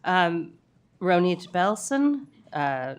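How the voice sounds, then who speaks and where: Slow, clear-voiced woman chairing a meeting. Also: fairly low pitch, very animated. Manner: halting, apologetic over hard-to-read names. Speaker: council meeting chair calling speakers. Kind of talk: meeting procedure.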